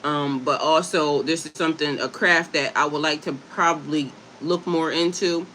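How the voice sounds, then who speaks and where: A woman from Delaware hosts a relaxed live stream, chatting with her viewers and reading aloud from a website. She stumbles over difficult terms and spells them out letter by letter. Her voice is bright and forceful, with a faint hiss of noise behind it. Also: sits low, moderate pace, poor audio. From Delaware, USA. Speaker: livestream host and herbalist. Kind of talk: casual livestream chat, reading aloud from a website.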